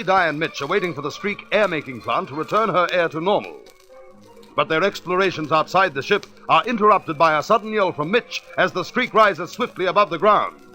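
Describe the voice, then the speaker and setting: A dramatic narrator of a radio space adventure. His voice is high and bright, over faint music, telling the story in scripted serial style. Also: poor audio.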